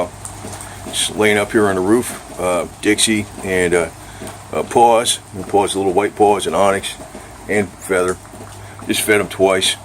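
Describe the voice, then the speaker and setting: Low-voiced man rambling casually over background noise. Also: low-quality recording. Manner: rambling, informal, mildly profane. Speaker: vlogger reviewing radio gear. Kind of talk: casual rambling show-and-tell vlog.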